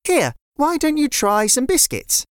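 A quick-thinking little girl who jumps in with excited excuses, as a storyteller puts her on. Her voice is very high and bounces up and down. The sound is close and dry. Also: dry recording, exaggerated voice. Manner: excited, breathless, fast-thinking.

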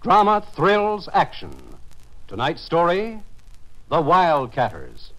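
A man's voice: a little high, huge pitch swings, takes it slowly, low-quality recording. A man announcing a vintage radio drama in a dramatic scripted style. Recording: old radio broadcast recording. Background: loud theme music.

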